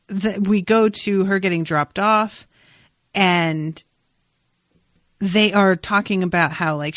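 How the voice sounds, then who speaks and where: A woman podcast host with a slightly low voice chats in a casual, informal way. She is opinionated and playful in how she puts things. The recording is close and dry, with no room sound. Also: fairly bright tone, no room sound. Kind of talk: casual chatty podcast discussion.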